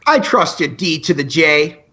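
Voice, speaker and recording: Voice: medium pitch, animated, a little slow, bright, forceful tone, highs cut off. An actor playing a wisecracking, teasing teenage student radio co-host in an amateur radio play, with playful mock-formality.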